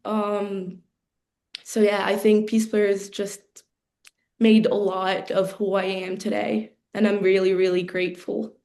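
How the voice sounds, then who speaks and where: A woman in her twenties tells her story in an earnest, steady way, often pausing on ums and restarting her phrases. Her voice is mellow and soft-edged, sitting at a middle pitch. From Middle East. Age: 20s. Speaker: program alumna and college athlete. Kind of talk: prepared personal speech on a video call.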